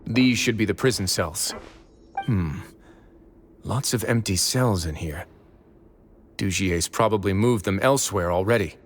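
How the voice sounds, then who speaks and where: An actor playing a calm, authoritative fortress commander in a video game, measured and assured. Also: male voice, on the low side, natural voice. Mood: composed.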